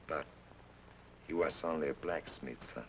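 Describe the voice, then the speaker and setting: A calm, fatherly old Mexican innkeeper with a very deep voice. He speaks slowly and evenly. The sound is a dull, hissy old radio recording. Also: heavily acted. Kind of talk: 1950s radio acting.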